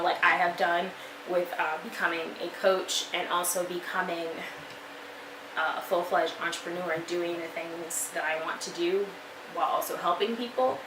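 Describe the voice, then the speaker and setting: Young woman in her twenties, lowish voice, encouraging vlogger tone; roomy recording with steady background noise. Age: 20s. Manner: chatty, encouraging, many fillers. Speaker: vlogger and life coach. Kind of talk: motivational talk to camera. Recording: echoey room with noise.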